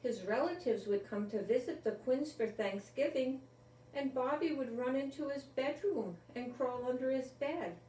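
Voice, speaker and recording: An older woman, a grandmother storyteller, reading a children's book aloud in a deliberate, expressive manner. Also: high voice, a little hiss or hum. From Rhode Island, United States. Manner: deliberate, expressive, with repeated emphasis on key words. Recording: roomy, echoey, distant from the mic.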